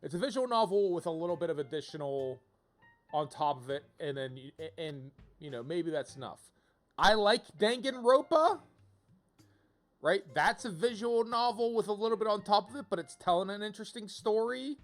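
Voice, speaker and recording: A man giving casual livestream commentary to viewers, thinking out loud in an informal, rambling way and often rephrasing himself. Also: high voice, expressive, normal speed. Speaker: video game livestreamer, host. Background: music.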